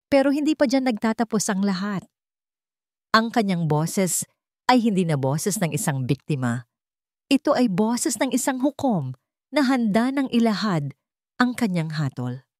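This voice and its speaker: A woman narrating a scripted dramatic story in an even, dramatic storytelling manner. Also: on the low side, dry recording, studio quality.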